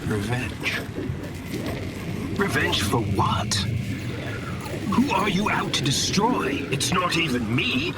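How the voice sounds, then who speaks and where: An actor playing an intense, desperate and powerful mutant man in a cinematic audio drama, with halting, melodramatic delivery. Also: a little high, wildly swooping pitch, full character voice. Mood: grim, accusing. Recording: very loud music over voice. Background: loud dramatic music score.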